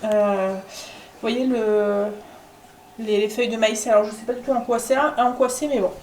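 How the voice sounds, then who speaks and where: A woman vlogging casually from home, with a stuffy nose from a cold, chatting with lots of filler pauses. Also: on the high side, fairly even intonation, darkish tone, reverberant, slight background noise, low-quality recording. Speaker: family vlogger. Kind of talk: casual home vlog chat.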